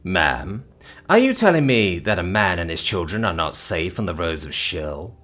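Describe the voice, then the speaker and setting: A man's voice: low-pitched, sing-song, moderate pace, fairly bright tone, soft background music, voice pushed down. A man voicing a young father in an audiobook, polite but slyly mocking, with hesitant, deferential phrasing. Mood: incredulous. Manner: hesitant, mock-deferential, oddly worded speech.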